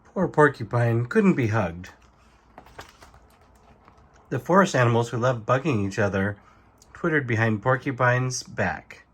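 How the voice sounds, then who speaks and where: A man reading a children's bedtime story aloud in an expressive narrating style. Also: medium pitch, a little slow, pauses often, breathy edge. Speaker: storybook reader.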